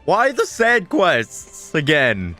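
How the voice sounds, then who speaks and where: A man's voice: a little high, wildly swooping pitch, slow, sharp tone, faint music behind. Casual male gamer reacting aloud. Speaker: game streamer. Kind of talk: casual gameplay reaction commentary.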